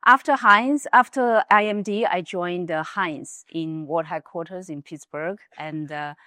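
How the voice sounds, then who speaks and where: A woman's voice: lowish voice, unhurried, bright, forceful tone, dry recording, clean studio sound. A middle-aged woman from China with a Chinese accent, recounting her career as an interview guest. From Wuhan, China. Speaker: interview guest, business executive.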